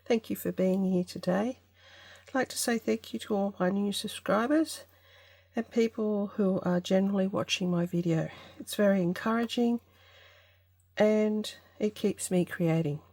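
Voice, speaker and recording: A woman hosting a casual craft vlog, congested from an allergy, talking through her work in an unhurried, tentative way as she thinks aloud. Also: mid-range voice, darkish tone, no echo. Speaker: craft channel host.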